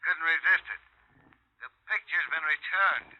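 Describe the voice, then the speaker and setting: Breezy police sergeant on phone. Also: male voice, fairly high pitch. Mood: teasing, offhand. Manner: brisk, offhand, teasing. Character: breezy teasing male police burglary sergeant. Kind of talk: 1950s radio drama acting. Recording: muffled old radio phone line.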